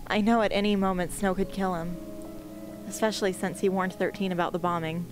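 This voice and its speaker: A young woman playing a determined, anguished teenage girl rebel in an amateur radio play, speaking earnestly and with measured care. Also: fairly low pitch, fairly even intonation, music in the background, close to an everyday voice. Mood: sombre, resolute. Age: young adult. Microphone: close studio microphone on stand.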